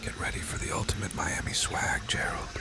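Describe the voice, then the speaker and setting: A man's voice: fairly quick, lightly acted. A man voicing Chad, a cocky young male gym bro with slangy, boastful talk and a whispery voice.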